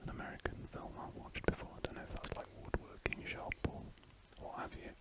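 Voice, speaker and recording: A man in his twenties whispering a soft, rambling commentary while he draws, as an ASMR host. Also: brisk. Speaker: art channel host.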